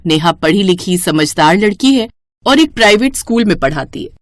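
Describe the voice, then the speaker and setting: A woman's voice: mid-range voice, lively intonation, moderate pace. A woman storyteller giving scripted narration of a moral tale.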